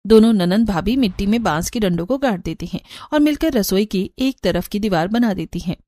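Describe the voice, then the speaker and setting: A woman's voice: medium pitch, animated, fairly quick, mellow. A woman narrating a scripted cartoon story.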